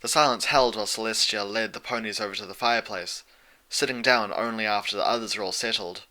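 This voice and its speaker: Very bright-voiced man narrating a story. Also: lowish voice, fairly even intonation, quick pace, rough recording. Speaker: audiobook narrator.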